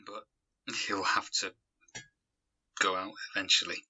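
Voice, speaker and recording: An actor playing an anxious survivor who challenges the others, in a naturalistic audio drama. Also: male voice, low voice, little pitch movement, measured pace, bright, forceful tone, slightly rough, highs cut off, full character voice. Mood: anxious, challenging.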